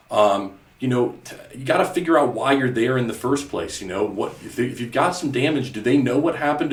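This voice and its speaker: A man's voice: medium pitch, reverberant. A male podcast host in casual coaching chat, earnest and repetitive, often saying "you know". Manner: earnest, repeats himself, many "you know"s.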